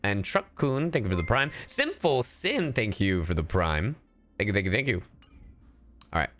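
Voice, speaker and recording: A man from Ecuador streaming a game, playful and animated, switching between English and Spanish. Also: on the low side, moderate pace. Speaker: streamer and voice actor. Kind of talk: casual live game stream.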